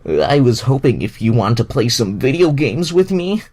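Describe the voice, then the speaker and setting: Brief: chummy young superhero pal done by a man, pitched up and lively, eager storybook character. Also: moderate pace, slightly rough. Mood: eager, cheerful.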